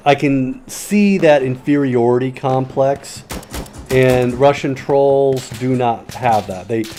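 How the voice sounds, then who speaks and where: Rambling, profane gamer man, mellow voice. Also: mid-range voice, slight background noise. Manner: rambling, profane, reads and answers chat. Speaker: streamer. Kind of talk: casual commentary while playing a shooter game.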